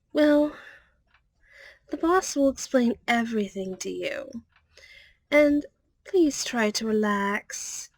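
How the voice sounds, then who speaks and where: A woman's voice: a little high, no echo. A woman playing a friendly, polite catgirl secretary in an ASMR roleplay, chatty, ending sentences with a little meowing tic. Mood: sweet, apologetic.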